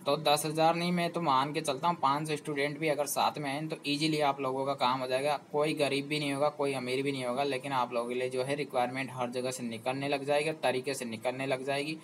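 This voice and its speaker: Male channel host, persuasive explainer fond of rhetorical questions, mid-pitched voice, slight background hiss. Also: level tone, brisk, hardly any pauses, fairly bright tone. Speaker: job information channel host. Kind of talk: explanatory talk to students.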